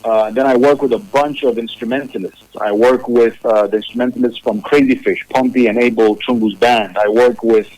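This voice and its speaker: Musician guest, 30s, from Zambia, mid-pitched man, measured and thoughtful, dry studio sound. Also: steady tone, a little mellow, no echo, poor audio. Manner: measured, repeats words while thinking. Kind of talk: remote interview, casual. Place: his own music studio.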